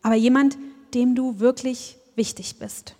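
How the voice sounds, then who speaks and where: A woman delivers a sermon in a reflective, personal way and keeps turning to her listeners with questions. Her voice sits fairly high. Long reverb from a church hall trails behind her words. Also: fairly even intonation, a little slow, warm tone. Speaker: preacher.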